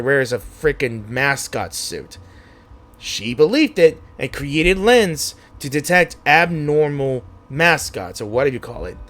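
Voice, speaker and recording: Casual game streamer, lively pitch swings, blunt teasing reactions, faint background music. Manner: blunt, sweary, giggly, teasing. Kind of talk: casual reaction commentary over gameplay.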